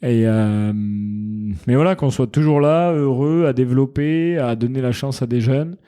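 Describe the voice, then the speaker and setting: A man with a mellow, relaxed tone chats as a podcast guest. He explains his business earnestly and works his thoughts out as he speaks, in an easygoing conversational way. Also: medium pitch, measured pace, smooth. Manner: earnest, explanatory, thinks aloud mid-sentence. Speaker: founder of a wine company, podcast guest. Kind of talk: casual interview chat.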